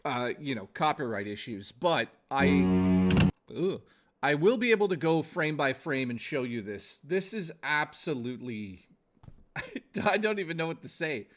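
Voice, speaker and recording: A man's voice: a little high, sing-song, measured pace. A man in his forties chatting casually to viewers as a gaming livestreamer, informal and exclamatory, with some swearing. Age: 40s.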